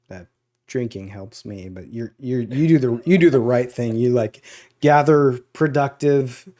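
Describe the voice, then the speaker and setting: A man's voice: mid-range voice, a little slow, mellow. A man chatting loosely on a podcast, rambling through stories with many "uh"s and "like"s. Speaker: podcast co-host. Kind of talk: casual chat.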